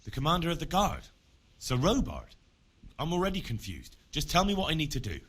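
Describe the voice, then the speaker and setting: An actor playing a plain-spoken young commoner, the earnest and wary hero of a medieval video game, frank and questioning. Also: male voice, on the high side, wildly swooping pitch, a little fast, husky, heavily acted. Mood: helpful, puzzled. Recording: loud outdoor ambience.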